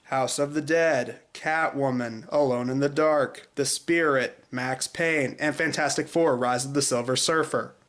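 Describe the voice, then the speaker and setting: A man's voice: mid-range voice, steady tone, a little slow, few pauses, a bit bright. A man hosting a show with opinionated scripted commentary, informal and sarcastic in delivery. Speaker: video show host. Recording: echoey room.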